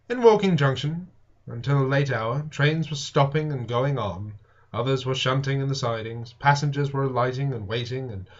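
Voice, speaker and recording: A man narrating a classic novel in a theatrical, expressive storytelling style with deliberate emphasis. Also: medium pitch, lo-fi sound. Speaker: audiobook reader and show host.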